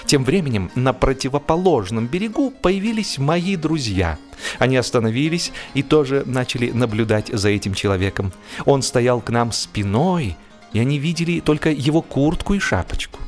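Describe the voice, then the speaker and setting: A man's voice: medium pitch, expressive, normal speed. Male storyteller narrating humor, music behind. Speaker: audiobook narrator.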